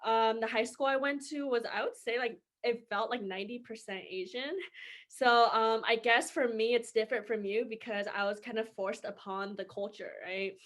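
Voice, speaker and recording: A woman's voice: a little high, fairly even intonation, moderate pace, fairly bright tone. A woman, chatting casually and laughing often as podcast co-host. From San Francisco Bay Area. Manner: laughs often, many fillers. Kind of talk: casual chat.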